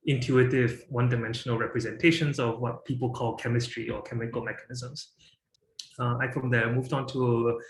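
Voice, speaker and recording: A man's voice: medium pitch, reverberant, a little hiss or hum, low-quality recording. A man from Singapore in his thirties, giving a presentation and talking with many fillers and restarts. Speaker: guest speaker.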